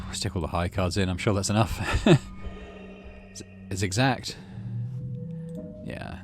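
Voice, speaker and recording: A man's voice: low voice, slow pace, faint music behind. A middle-aged man giving casual game commentary, muttering drily to himself and reading bits of narration aloud. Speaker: gaming channel host.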